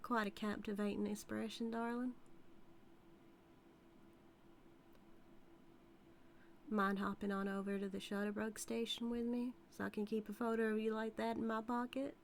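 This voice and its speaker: A woman's voice: fairly high pitch, little pitch movement, a little fast, gravelly, hardly any pauses, slight background noise. A woman playing a flirty, dominant outlaw cowgirl with a Southern US accent in a scripted audio roleplay, drawling and teasing. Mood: amused, flirty. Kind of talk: scripted audio roleplay, intimate character acting.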